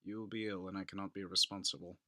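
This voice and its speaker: A man's voice: deep voice, little pitch movement, fast talker, sharp tone, no room sound, clean studio sound. A man playing a scheming Italian nobleman in a dramatic audiobook reading, courteous and insinuating with feigned concern. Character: scheming, falsely solicitous Italian nobleman, outwardly polite.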